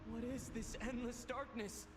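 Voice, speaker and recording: A man's voice: extremely high pitch, flat delivery, moderate pace. A man playing a defiant, earnest young hero in scripted video game dialogue. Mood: bewildered. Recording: game music behind the voice.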